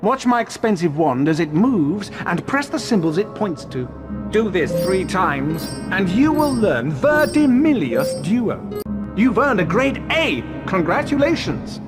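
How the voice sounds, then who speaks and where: A man's voice: high voice, very animated, a little slow, over loud music. A man playing a vain, pompous, showy wizard professor in a video game. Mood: grandly instructive. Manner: pompous, effusive, self-satisfied. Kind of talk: theatrical video game voice acting.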